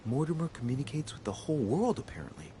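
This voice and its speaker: An actor voicing a young male noble investigator in a video game, measured and musing, often dropping to a hushed voice. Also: medium pitch, sing-song. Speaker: game protagonist. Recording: game audio with background noise.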